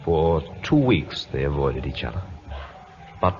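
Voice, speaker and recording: A man's voice: rumbling low, very animated, a little slow, a little mellow, full character voice. A man playing a loyal, earnest naval officer who narrates dramatically in an old-time radio play. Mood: solemn narrating. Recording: muffled old radio broadcast, music behind.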